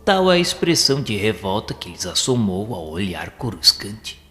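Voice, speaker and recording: A man's voice: fairly low pitch, sing-song, moderate pace, a bit bright. A man with a Brazilian accent narrating an audio novel in a formal, literary, scripted manner. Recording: long reverb, music behind the voice.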